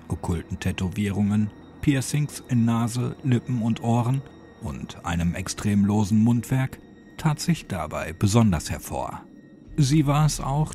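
Male horror audiobook narrator, dramatic scripted read, animated intonation, music bed. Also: lowish voice.

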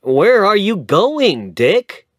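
A man's voice: high-pitched, sing-song, very slow, dry recording, clean studio sound, voice pushed up, exaggerated voice. A man doing a chatty young lawyer. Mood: curious.

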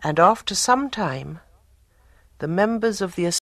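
A storyteller reading a tale as an audiobook, in a soft, breathy voice with measured delivery and dramatic pauses. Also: mid-range voice, lively intonation, dry recording. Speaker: storyteller narrator. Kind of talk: scripted audiobook story narration.

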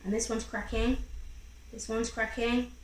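A woman in her thirties reading a picture book to a young child in an expressive, encouraging way, pausing to ask questions. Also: a little high. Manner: expressive, encouraging, acting out sound effects. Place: small room at home, indoors. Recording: roomy home recording with faint background noise.

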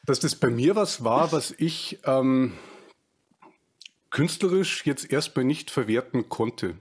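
A man in his thirties with a Bavarian accent, hosting a casual podcast interview over a video call on a headset, talking slowly with many fillers and restarts. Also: medium pitch, lively intonation, slightly rough. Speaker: podcast host. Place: home room, video call.

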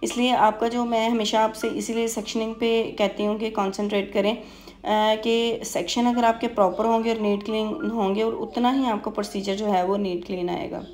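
Female beautician for tutorial voice-over: explanatory, level delivery with halting pauses, faint music underneath. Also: on the high side, level tone, a little mellow. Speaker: beautician giving a hair tutorial.

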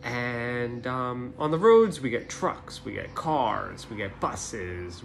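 Man, thirties, children's read-aloud presenter. Simple explanatory delivery, mid-pitched, light music. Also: gravelly. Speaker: teacher reading a story aloud to children. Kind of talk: casual introduction and storybook read-aloud.

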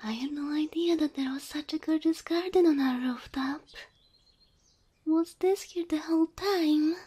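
A woman playing a gentle, affectionate cow girl girlfriend in an ASMR roleplay, breathy and often whispering, tender and full of wonder. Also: high-pitched, a little slow. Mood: amazed, delighted. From Slavic country.

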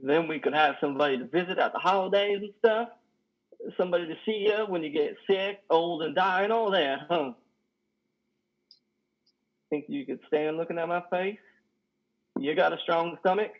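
A man playing a lonely, guilt-ridden trucker in confession, speaking haltingly with stammers in an online play reading. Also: on the high side, natural voice. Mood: shyly hopeful. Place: living room, on a sofa. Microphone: headset on video call. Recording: dull video call audio.

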